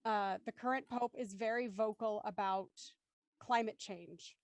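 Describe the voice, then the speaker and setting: A woman's voice: mid-range voice, fairly even intonation, unhurried, no echo. A woman speaking as a panelist in a discussion, articulate and reflective, setting out considered thoughts.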